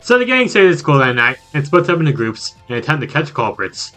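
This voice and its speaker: A man giving a casual scripted recap with sarcastic, joking asides, in an animated voice. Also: on the high side, quick pace, music in the background. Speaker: video game reviewer.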